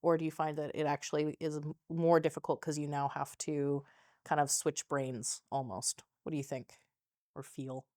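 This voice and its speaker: A woman in her thirties hosting a school podcast, chatting casually and giving steady encouraging backchannels, recorded on a lapel mic in a small studio. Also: low-pitched, normal speed, no room sound. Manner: encouraging, frequent "mm-hmm" backchannels. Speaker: podcast host, teacher. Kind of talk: casual interview chat.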